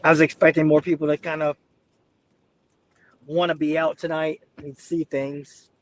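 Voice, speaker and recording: A man with a raspy voice, easygoing and chatty, giving live storm commentary from his car while getting over the flu. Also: on the high side, level tone, normal speed, rough recording. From Southeast Georgia. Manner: easygoing, addresses viewers as y'all. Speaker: weather livestreamer. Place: inside a car, driving on icy roads.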